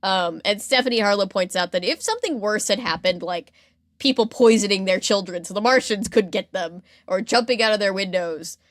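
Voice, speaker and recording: A woman hosting a podcast, casually retelling history in a chatty, animated way with lots of filler "like". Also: mid-range voice, a little fast, no room sound, clean studio sound. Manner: chatty, animated retelling with acted-out quotes and frequent "like". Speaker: podcast host. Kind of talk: casual storytelling about pop culture history.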